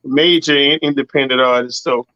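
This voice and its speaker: A man with a rough, raspy voice, a singer and producer talking casually in an interview and often saying "you know what I'm saying". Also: mid-range voice, fairly even intonation, normal speed, bright, forceful tone, no room sound, highs cut off. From Southern US.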